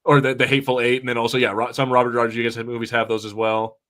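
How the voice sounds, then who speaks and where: A man with a mid-pitched voice co-hosts a casual watch party. He rambles and corrects himself as he chats and reads viewer comments, dropping the odd swear word. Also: completely flat delivery, fast talker, fairly bright tone. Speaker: podcast co-host.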